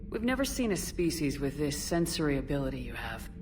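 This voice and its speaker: A woman's voice: deep voice, very animated, a little fast, noisy background. A woman voicing a principled, composed military commander in a video game, direct and plain-spoken. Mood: curious, wry. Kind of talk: cinematic video game acting. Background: music.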